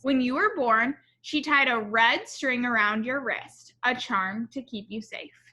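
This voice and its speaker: A woman voicing a loving father who tells family stories in a storybook, with gentle, measured delivery. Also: fairly high pitch. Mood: fond, reflective.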